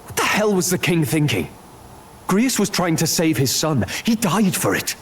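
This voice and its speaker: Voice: mid-range voice, sing-song, normal speed, slight background noise, close to an everyday voice. An actor playing a blunt, skeptical companion in a fantasy video game. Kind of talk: dramatic video game voice acting.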